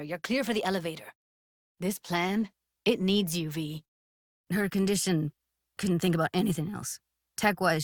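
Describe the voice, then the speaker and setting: A woman's voice: fairly low pitch, no room sound, studio quality. A woman playing a blunt, guarded but tender young techie in a video game, speaking in casual, clipped slang with a natural delivery. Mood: tense, heated.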